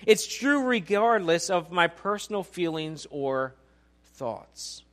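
Guy in his 40s preaching, pretty high voice, chatty and jokey, keeps asking the crowd stuff. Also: sing-song, slow, a bit bright. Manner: conversational, joking, quizzes the congregation. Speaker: pastor. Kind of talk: sermon. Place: church auditorium.

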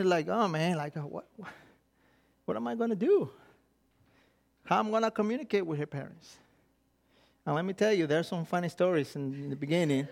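A middle-aged Mexican-accented man preaches with a notably high voice. He tells stories animatedly, acting out the quoted thoughts of himself and others and often restarting his sentences, as a non-native speaker of English. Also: creaky. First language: Spanish. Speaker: pastor. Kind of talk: sermon.

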